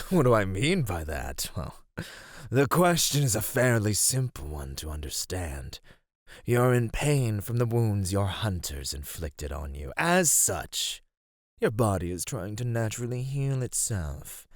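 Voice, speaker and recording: A man playing an ancient male serpent-like monster in an ASMR roleplay, patient, menacing and teasing, with deliberate, ornate speech. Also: lowish voice, sing-song, dry recording, studio quality. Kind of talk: ASMR roleplay, scripted acting.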